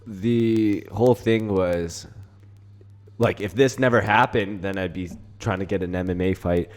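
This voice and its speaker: Man, lowish pitch, animated storyteller, slangy, casual podcast chat, fighter guest. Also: unhurried, faint music behind. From Canada.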